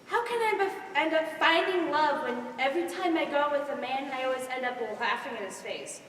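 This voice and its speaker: Teen girl as a very high, bright, lovelorn young woman. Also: expressive, a little fast. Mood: lovelorn, earnest. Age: teenager. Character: giggly lovelorn young woman, earnest and easily amused. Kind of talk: high school stage comedy acting. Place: school stage, recorded from the audience. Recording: echoey hall from far off, noisy.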